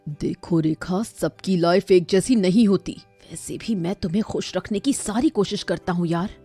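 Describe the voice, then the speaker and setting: A woman puts on an exasperated husband for a moral storybook tale. The voice is lowered and a little husky, with a reproachful, lecturing manner. Soft music plays behind. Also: normal speed, dry recording. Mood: weary, pleading. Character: exasperated working husband, sensible and scolding.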